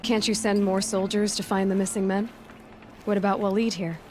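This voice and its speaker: Actress as a direct young huntress, mid-pitched. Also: female voice, flat delivery, normal speed, a little mellow, a little hiss or hum, lightly acted. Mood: inquisitive, direct. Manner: direct, businesslike. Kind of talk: video game dialogue acting.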